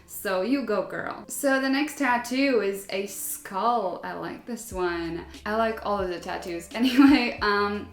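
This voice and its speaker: A woman's voice: mid-range voice, a little slow, hardly any pauses. Chatty young woman in an echoey room. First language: not English. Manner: chatty, relatable, laughs often. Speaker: vlogger. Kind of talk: casual chat reading viewer stories.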